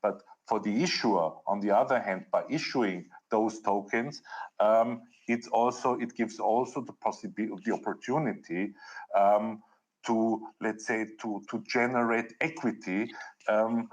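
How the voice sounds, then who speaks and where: Male panelist, low voice, careful explainer who leans on fillers. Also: steady tone, measured pace, low-quality recording. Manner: careful, hesitant, heavy on fillers. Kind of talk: conference panel discussion.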